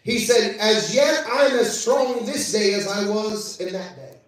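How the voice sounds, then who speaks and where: A man with a high voice delivers a sermon, reading scripture and calling out to the congregation. His voice rings through a very echoey church, caught from well off the mic. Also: lively intonation, unhurried, far from the mic. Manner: preaching with call-outs and scripture reading. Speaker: preacher. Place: church service.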